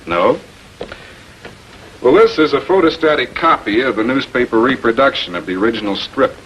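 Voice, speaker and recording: A shrewd American public prosecutor, played by a male actor in a 1940s television crime drama. He has a medium-pitched voice and questions suspects methodically and with authority. The old film soundtrack carries faint noise. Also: lively intonation, normal speed, fairly bright tone, dull audio, natural voice. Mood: confident, accusing.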